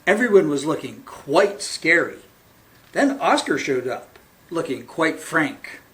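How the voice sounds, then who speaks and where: A man reading a picture book aloud to children as a librarian at storytime, with theatrical, deliberate phrasing. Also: on the high side, very animated, fairly bright tone, low-quality recording.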